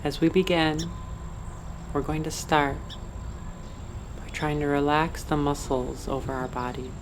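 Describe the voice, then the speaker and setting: Female meditation guide, low pitch, soothing and unhurried, faint cricket and insect ambience behind. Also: fairly even intonation, noisy background.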